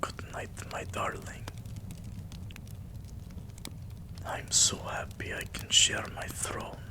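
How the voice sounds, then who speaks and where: A man playing a tender, devoted vampire king in a romantic ASMR roleplay, speaking in a hushed, breathy, soothing way that often slips into a whisper. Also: lightly acted. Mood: soothing, drowsy. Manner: hushed, slow, soothing, affectionate.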